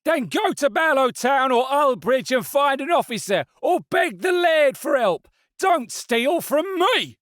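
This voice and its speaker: A man voicing an angry male fish stallholder who shouts and accuses, in an audiobook. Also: very high voice, big pitch swings, a little slow, hardly any pauses, dry recording, studio quality, pitched above the performer's own voice, exaggerated voice. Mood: scornful.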